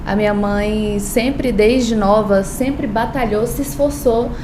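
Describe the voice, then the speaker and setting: A woman's voice: mid-range voice, slow pace, reverberant, noisy background. A woman from Brazil, a lawyer and law professor, telling her story earnestly in a podcast interview, with frequent hesitations. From Brazil, born in Paraíba, raised in Brasília.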